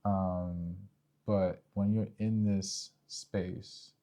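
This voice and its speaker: A young man with a deep, mellow voice that stays fairly flat and dips into fry. He co-hosts a casual podcast on relationships. He lays points out step by step and keeps checking that the listener follows. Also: very slow. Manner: conversational, explains step by step, seeks agreement. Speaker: podcast co-host.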